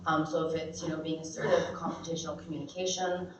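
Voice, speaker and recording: A woman giving an informal pitch for her group, hesitant and full of fillers. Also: level tone, quick pace. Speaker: meetup organizer pitching. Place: meetup room indoors. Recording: echoey room, distant dull mic, faint hiss.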